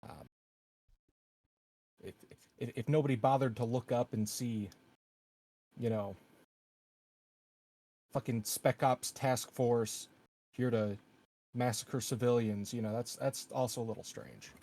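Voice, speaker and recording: Cynical space-colony security man, roleplayed by a man, fry-laden voice, mid pitch, blunt and halting, tabletop game. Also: fairly even intonation, dark tone. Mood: wry, suspicious. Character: cynical, sardonic male colony security operative. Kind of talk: in-character tabletop roleplay dialogue.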